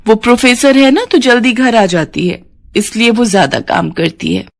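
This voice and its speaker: A woman's voice: on the high side, lively intonation, normal speed, creaky. A woman voicing a meddling, quarrelsome aunt who gossips and needles in an insinuating way in a family story.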